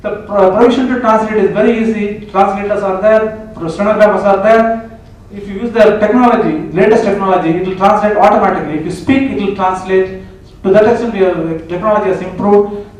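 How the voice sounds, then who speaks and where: A man giving a formal, measured legal speech with many restarts. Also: high voice, darkish tone. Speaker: speaker at a legal function. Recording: echoey hall, voice from far off.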